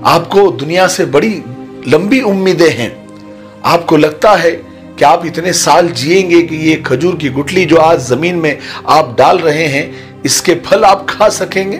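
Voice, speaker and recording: A man's voice: a little high, measured pace, faint music behind, natural voice. A man doing a curious just king. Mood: wondering. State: hoarse.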